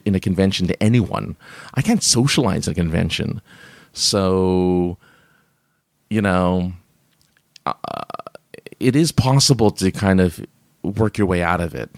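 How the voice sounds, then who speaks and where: Deep gravelly man chatting on a stream. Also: lively intonation, unhurried, dry recording, studio quality. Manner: rambling, many fillers and restarts; laughs often. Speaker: live stream host. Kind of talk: casual chat reading viewer comments.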